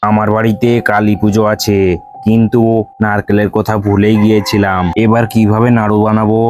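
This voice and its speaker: A man voicing an authoritative village landlord in an animated folk tale. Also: lowish voice, fairly quick, background noise, pitched below the performer's own voice, lightly acted. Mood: preoccupied.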